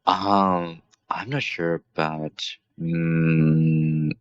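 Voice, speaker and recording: A man's voice: deep voice, fairly even intonation, drawn-out words, clear, resonant tone, no room sound, lo-fi sound. A Japanese man practicing English with a Japanese accent, laughing often and using many fillers over a video call. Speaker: English student. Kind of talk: casual conversation practice.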